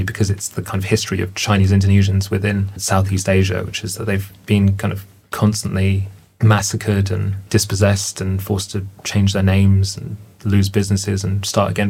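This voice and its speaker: A man's voice: fairly low pitch, darkish tone, breathy edge. A man speaking as a panel guest, reflective and searching, with casual fillers. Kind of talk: panel discussion.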